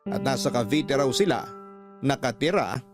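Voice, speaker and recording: A man's voice: medium pitch, expressive, normal speed, music in the background. A man reading a scripted first-person story as a radio storyteller.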